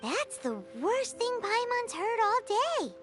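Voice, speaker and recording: A woman voicing a tiny, excitable childlike fairy companion in a video game, squeaky, perky and blunt. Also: slow pace, heavily acted. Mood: excited. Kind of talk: video game character acting. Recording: background music over game dialogue.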